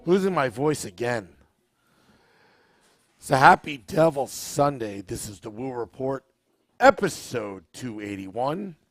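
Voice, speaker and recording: Scratchy-voiced man hosting a slow podcast. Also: mid-range voice, no room sound, studio quality. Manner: relaxed, unhurried, asks the audience questions. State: scratchy, worn voice. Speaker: podcast host. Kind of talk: live-streamed podcast chat, reading viewer comments.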